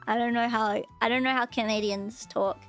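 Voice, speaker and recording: A high, bright voice from a young woman. She chats casually like a livestream host, hesitating and doubling back on phrases. Soft music sits underneath. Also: steady tone, normal speed. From Australia. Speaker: streamer, VTuber host.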